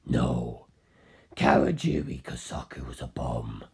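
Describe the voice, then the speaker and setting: An aloof, all-knowing superhuman man. The voice drops very low and heavy. Words come slowly and deliberately, with cool dismissiveness. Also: huge pitch swings, gravelly, mellow, slightly rough, exaggerated voice. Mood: flat contradiction. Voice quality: very deep, heavy voice.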